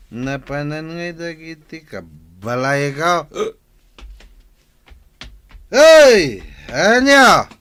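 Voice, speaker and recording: Man acting a slow, rambling drunken husband. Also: mid-range voice, sharp tone, clear, resonant tone, heavily acted. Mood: shouting, irritated. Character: drunken husband and father, loud and grumbling. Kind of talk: Ilocano radio comedy-drama acting.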